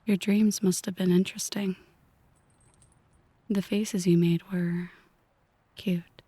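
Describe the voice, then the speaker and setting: A woman voicing a possessive yandere mafia boss in an ASMR roleplay, slow and half-whispered, mock-affectionate and coolly menacing. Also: on the low side, level tone, warm tone, no room sound. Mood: teasing, menacing.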